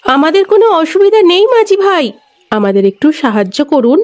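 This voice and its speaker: A woman's voice: extremely high pitch, very animated, moderate pace, a little mellow. A woman voicing a young female ghost in an insistent manner for a horror audio story. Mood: pleading. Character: young female ghost posing as stranded wedding guest.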